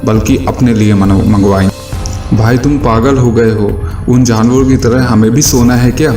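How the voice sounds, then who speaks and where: A man playing scheming village thieves in an animated children's moral story. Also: mid-range voice, moderate pace, echoey room, soft background music, natural voice.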